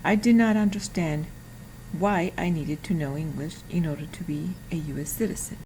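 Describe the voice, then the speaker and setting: A woman's voice: deep voice, dark tone, a little hiss or hum, poor audio. A woman, carefully reading a prepared class presentation. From Mexico. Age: 50s. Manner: careful, deliberate reading with some restarts. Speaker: student.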